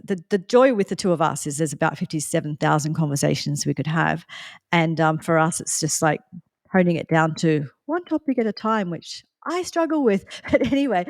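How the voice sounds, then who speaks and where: A woman's voice: lowish voice, no echo. Woman, 50s, chatty podcast host, laughs often, dry close sound. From Australia. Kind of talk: casual interview conversation.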